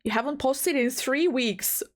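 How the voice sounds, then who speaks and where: A woman's voice: high-pitched, a little slow. A young woman from the Balkans with a slight Albanian accent, a VTuber scolding herself between recording takes, with a blocked nose. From Balkans, Southeastern Europe. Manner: frazzled, scolds herself, giggly, restarts lines. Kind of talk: casual self-talk between recording takes. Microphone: home recording mic.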